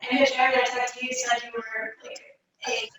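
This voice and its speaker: A woman's voice: a little high, level tone, measured pace, a bit bright. A woman attending as a guest, sharing her impressions in a candid, chatty way over a video call. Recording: distant, very echoey room with faint noise.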